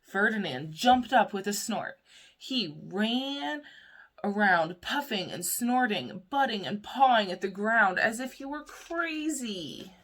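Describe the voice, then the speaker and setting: A woman's voice: mid-range voice, expressive, slow, fairly bright tone. A woman reading a children's story aloud like a preschool teacher, expressively, with questions aimed at young listeners.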